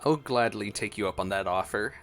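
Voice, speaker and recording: A man's voice: on the low side, brisk, creaky, over music. A man giving casual gameplay commentary in a playful, gushing way. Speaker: streamer reacting to a game.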